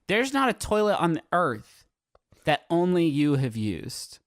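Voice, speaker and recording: A man riffs as a comedy podcast host, insistent and emphatic. His pitch leaps around constantly as he talks. The recording is dry and tight. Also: big pitch swings, takes it slowly, no echo. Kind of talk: animated comic banter.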